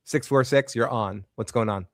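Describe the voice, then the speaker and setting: A man with a Boston accent hosting a casual call-in show, talking in a quick, chatty way and swearing now and then. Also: mid-range voice, vocal fry, no echo, studio quality. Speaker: host taking calls.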